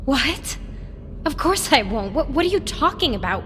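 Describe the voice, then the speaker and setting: An actress playing a frightened, questioning woman in danger in an audio drama, halting and urgent. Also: sits high, big pitch swings, a bit bright, a little hiss or hum. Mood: confused, demanding.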